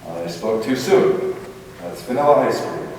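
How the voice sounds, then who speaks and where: A man's voice: medium pitch, animated, unhurried, darkish tone, lightly acted. Guy doing a grumpy pompous school principal, radio play, sarcastic, big hall echo, bit of hiss. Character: pompous, irritable older male high school principal. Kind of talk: old-time radio comedy acting on stage. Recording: echoey hall from far off, faint hiss.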